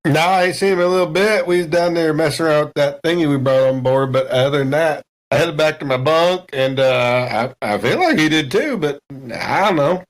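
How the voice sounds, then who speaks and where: A man's voice: on the high side, lively intonation, brisk, few pauses, lightly acted. A man voicing a folksy, overconfident spaceship crewman who rambles along with homespun sayings in a tabletop game. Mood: easygoing. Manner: drawling, rustic, rambling small talk with homespun sayings. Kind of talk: improvised in-character dialogue in a tabletop game.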